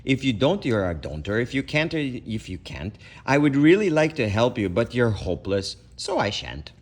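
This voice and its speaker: A man's voice: medium pitch, big pitch swings, moderate pace, slight background noise. A man voicing a pompous storybook figure who lectures and scolds emphatically. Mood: scolding. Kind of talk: children's storybook read-aloud.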